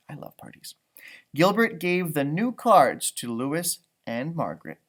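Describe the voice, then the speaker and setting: A man reads a children's storybook aloud in an animated, teacherly way. He slips into casual, encouraging asides and stretches his words for young listeners. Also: on the high side.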